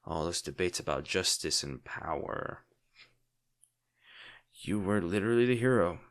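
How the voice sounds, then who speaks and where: A man playing a lovesick, protective villain in an intimate, brooding audio roleplay, speaking earnestly with dramatic pauses. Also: sits low, expressive, fairly bright tone, pitched below the performer's own voice. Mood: wry, tender. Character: lovesick male villain, brooding, protective, torn over wounded hero.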